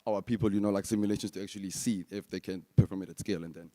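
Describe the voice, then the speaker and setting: Male founder, low and relaxed voice, casual unscripted answers to judges, heard in a reverberant hall. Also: racing. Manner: casual, peppered with "like" and "you know". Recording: long reverberant hall sound.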